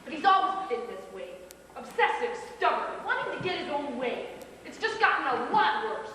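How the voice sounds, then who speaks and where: A high-voiced, exasperated young woman played by a stage actress, worried and quick to snap, with big swoops in pitch. It is live amateur theatre, heard from far off in a very echoey room. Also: sing-song, sharp tone, slight background noise, full character voice. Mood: worried, fed up. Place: small theatre stage, distant microphone.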